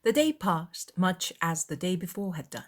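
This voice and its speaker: A woman's voice: on the low side, big pitch swings, normal speed. A woman reading a scripted literary narration as an audiobook narrator.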